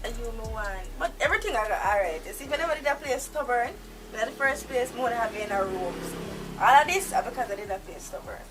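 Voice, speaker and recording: A woman's voice: fairly high pitch, expressive, fast, recorded from a distance, over music, close to an everyday voice. A woman playing a volatile young woman who holds a man captive, speaking, menacing at times and pleading at others. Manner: threatening, shifts to pleading and shouting.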